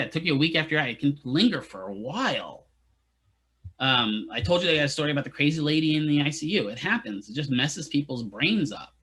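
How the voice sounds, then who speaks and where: A man lectures a class of therapy students in a loose, joking way and checks in constantly with the room. His voice is bright and forward, and it sits a little high for a man. He sounds at ease in front of his students. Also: breathy edge. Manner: casual, asks "right?" often, jokey asides to students. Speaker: physical therapy instructor.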